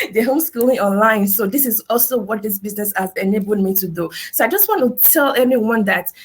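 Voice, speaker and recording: A woman's voice: medium pitch, expressive, brisk, husky. A woman giving an earnest webinar testimonial from her home, full of "you know" and "right?". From Nigeria. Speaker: business testimonial speaker. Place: her house in Nigeria.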